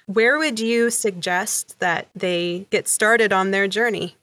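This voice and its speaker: A woman's voice: mid-range voice, slow pace, fairly bright tone. A woman with a mid-range voice speaks as a podcast co-host. She puts questions to a guest conversationally, and the recording is dry with no echo. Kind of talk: conversational interviewing.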